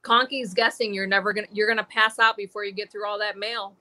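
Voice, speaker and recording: A man's voice: sits high, little pitch movement, fast talker, sharp tone, a little hiss or hum. Upbeat man, Arabic first language. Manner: short upbeat reactions, relays viewer comments. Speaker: channel co-host. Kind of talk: casual chat reading live comments.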